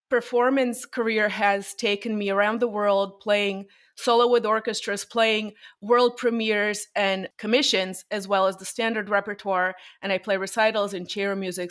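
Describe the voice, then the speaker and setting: A woman's voice: mid-range voice, fairly bright tone. A woman from Bulgaria, a violinist, answering interview questions in a conversational, storytelling way and laughing often. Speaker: violinist guest.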